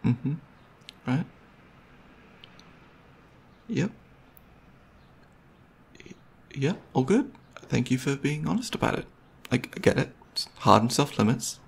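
Man acting a husky, goofy, caring boyfriend. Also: medium pitch, very animated, slow pace, pauses often, exaggerated voice. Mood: earnest, hesitant. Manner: hesitant, rambling, playful. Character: goofy, caring, slightly nervous boyfriend on a movie date. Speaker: ASMR roleplay performer. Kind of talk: ASMR roleplay, casual intimate chat to the listener.

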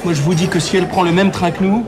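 A man's voice: high voice, fairly even intonation, racing, natural voice. An actor playing a cocky young skirt-chaser boasting to his mates in a radio play, brash and slangy. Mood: boastful. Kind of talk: naturalistic French radio drama acting. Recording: music behind the voices.

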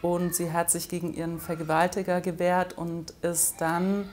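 A middle-aged woman from Germany speaks in a slightly low voice. She answers interview questions as a filmmaker, thoughtful and hesitant at times. The sound is from a camera close by, indoors. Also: level tone, normal speed, darkish tone, slight background noise. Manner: thoughtful, with frequent hesitation fillers. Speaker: film director. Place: indoors in front of an orange wall.

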